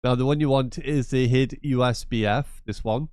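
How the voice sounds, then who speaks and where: A man's voice: mid-range voice, steady tone, moderate pace, darkish tone, smooth, dry recording, clean studio sound. A man with a cold and a blocked, nasal voice, chatting casually as he walks through a gaming tutorial. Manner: chatty, repetitive, rambling asides. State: congested from a cold. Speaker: gaming channel host. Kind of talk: casual screen-share tutorial.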